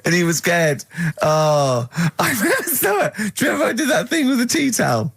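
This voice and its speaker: A man's voice: high-pitched, huge pitch swings, normal speed, vocal fry, fairly bright tone, slightly rough, dry recording, studio quality. A man hosting a radio show with casual comic banter, teasing and jokey, often jumping in over others. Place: radio studio.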